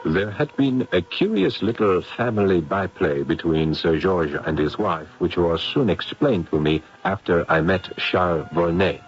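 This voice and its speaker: Radio drama casting: courteous skeptical academic, deep, measured storytelling, slightly dull old-radio sound. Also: male voice, slight background noise. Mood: detached. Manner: formal, wry, deliberate. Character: dry, skeptical male professor, polite narrator of a ghost story. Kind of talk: 1970s radio drama acting and narration. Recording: muffled vintage radio drama recording.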